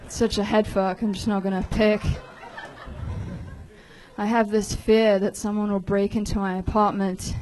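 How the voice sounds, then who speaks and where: A young woman, from Hong Kong, doing a deadpan stand-up set in a comedy club. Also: medium pitch, normal speed, a little hiss or hum, low-quality recording. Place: comedy club stage before a live audience.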